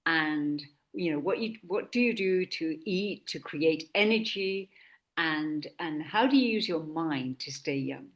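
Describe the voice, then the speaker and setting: A woman hosting a health conversation, from Sweden, talking slowly and deliberately with frequent restarts. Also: low-pitched, expressive. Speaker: host. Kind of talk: casual talk.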